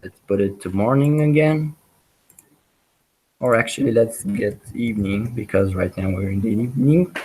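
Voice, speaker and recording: A man teaching a programming webinar, walking through live code with many pauses, ums and restarts. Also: lowish voice, warm tone, husky, low-quality recording. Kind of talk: live coding walkthrough.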